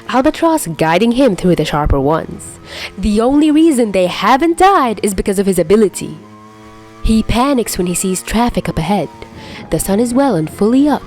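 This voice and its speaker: Female amateur fiction narrator, dramatic and expressive, lively intonation, faint music bed. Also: mid-range voice. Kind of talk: expressive scripted story narration.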